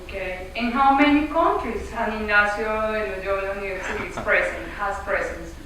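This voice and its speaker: A woman interviewing for a newspaper, asking questions with hesitant, halting phrasing. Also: mid-range voice, a crowd in the background. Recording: very echoey, far from mic, faint noise.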